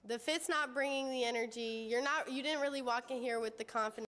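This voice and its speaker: A woman's voice: on the high side, fairly even intonation, fairly quick, a bit bright, in a large hall. A woman contestant on a dating game, frank and composed as she gives her reasons.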